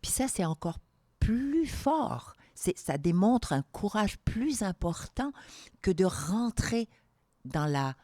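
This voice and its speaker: An older woman from Morocco and Algeria, speaking as an interview guest in a calm, measured way with emphatic repetitions. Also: mid-range voice, no echo, clean studio sound. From born in Morocco, grew up in Algeria. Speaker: guest, entrepreneur. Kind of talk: reflective interview talk.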